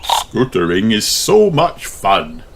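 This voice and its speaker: Jolly daddy pig for children's storybook, a man doing the voice; hearty, unhurried, noisy recording. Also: on the low side, huge pitch swings, gravelly, full character voice. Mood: playful.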